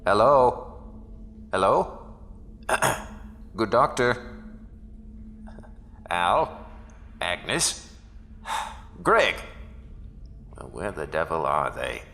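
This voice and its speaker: A man's voice: sing-song, heavily acted. A man playing a pompous, theatrical announcer in a horror radio drama, with a grandiose and menacing delivery. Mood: impatient, dry. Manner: grandiose, slow, dramatic delivery with hushed lows and roaring highs. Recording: long hall reverb, music underneath.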